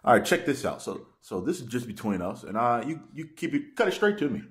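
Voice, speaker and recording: A man's voice: medium pitch, sing-song, fairly quick. A young man making prank phone calls in a slangy, repetitive, pushy way, recorded by a camera across a table. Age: young adult. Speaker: prank caller, video host. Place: small room indoors at a table.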